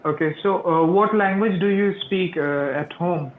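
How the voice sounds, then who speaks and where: A man with a slightly high voice speaks slow, careful learner's English while interviewing over a video call. His voice comes through thin and phone-like, with faint hiss underneath. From Pakistan. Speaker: interviewer and channel host. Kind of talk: English practice conversation. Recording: tinny phone-like call audio.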